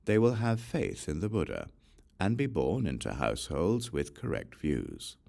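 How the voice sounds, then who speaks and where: A man with a very low voice narrates scripture as an audiobook reader. His intonation rises and falls widely from phrase to phrase, with a gravelly creak underneath. The delivery stays solemn and measured. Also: wildly swooping pitch, a little mellow.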